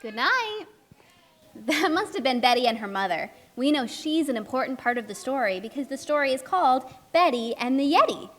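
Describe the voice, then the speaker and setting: A woman's voice: sits high, sing-song, normal speed. A woman playing a friendly, curious narrator in a children's puppet show, telling the story expressively and chatting with characters. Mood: polite, explaining.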